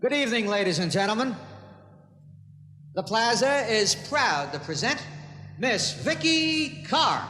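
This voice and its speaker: A man's voice: extremely high pitch, very animated, a little slow, long pauses. A man making a formal stage introduction as a show announcer, recorded live in a reverberant hall. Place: hotel showroom stage. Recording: echoey hall on live album, music behind.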